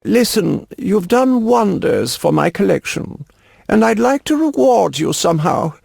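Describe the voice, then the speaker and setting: An actor playing a fussy, pompous, wealthy antiquities collector with a florid, genteel, pedantic manner, in a video game. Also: male voice, high voice, sing-song, measured pace, vocal fry, darkish tone, husky, no echo, clean studio sound, exaggerated voice. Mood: magnanimous.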